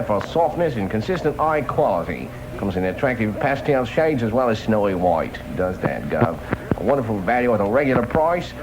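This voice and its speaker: A man's voice: mid-range voice, noisy background. A male disc jockey delivering folksy, joking Top 40 patter on a vintage radio broadcast. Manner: wisecracking, folksy, joking asides. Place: radio studio.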